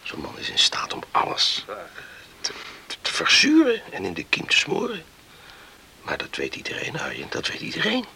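An actor playing a loyal young farmhand in a radio play, earnest, hesitant and concerned. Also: male voice, fairly high pitch, wildly swooping pitch, normal speed, creaky, sharp tone, slight background noise, heavily acted. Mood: hushed concern.

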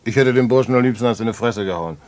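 An actor playing a boastful small-time crook in a radio play, telling a story in chatty, colloquial slang. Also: male voice, mid-range voice, rapid-fire, close to an everyday voice.

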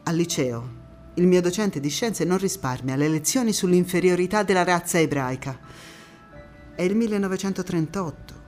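A woman's voice: on the low side, expressive, a little mellow, faint music behind, close to an everyday voice. An actress as a proud, defiant partisan woman storyteller. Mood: proud, grave. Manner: dramatic, measured phrasing with deliberate pauses. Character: proud, defiant Italian anti-fascist partisan woman recalling her youth. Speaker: stage actress in a one-woman monologue. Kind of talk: theatrical first-person storytelling monologue.

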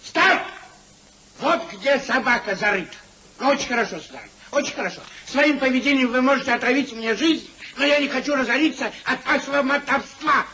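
A man's voice: very high voice, lively intonation, background noise. An older man acting a fussy, exasperated elderly English husband in a theatrical 1950s radio comedy, with indignant, emphatic delivery. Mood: indignant, scolding. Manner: indignant, emphatic, drawn-out exclamations. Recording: 1950s radio drama recording.

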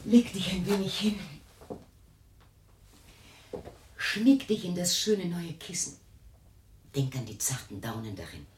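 A woman's voice: on the low side, big pitch swings, normal speed, darkish tone, a little hiss or hum. An actress playing an anxious, protective middle-aged mother in a German radio drama, soothing and placating in a theatrical manner. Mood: tender, coaxing. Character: anxious, protective, coaxing mother of a troubled daughter.

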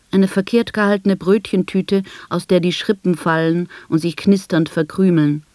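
An actress playing a blind woman who tells of her life in an articulate, measured, sardonic radio drama monologue. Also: lowish voice, a little mellow, no room sound, close to an everyday voice. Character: blind woman recounting her life with bitter, ironic humour.

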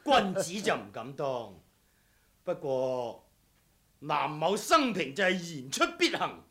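A man's voice: fairly high pitch, big pitch swings, takes it slowly, pushed and bright, exaggerated voice. A man acting a vengeful, sardonic swordsman in a Cantonese radio drama, with a mock-courteous, taunting, theatrical manner. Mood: cold, menacing. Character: vengeful, sardonic swordsman come to settle a blood debt.

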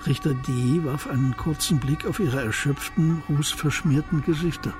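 A man's voice: fairly high pitch, normal speed. A man narrating a radio crime drama from a script as its storyteller. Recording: music behind the voice.

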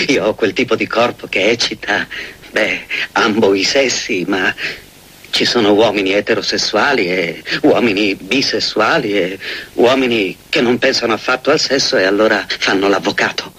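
A man acting as a neurotic comic philosopher rambles through a film-style monologue in a bright, slightly low voice with a husky edge. He muses, hesitates and interrupts himself with dry wisecracks. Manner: hesitant, musing, self-interrupting.

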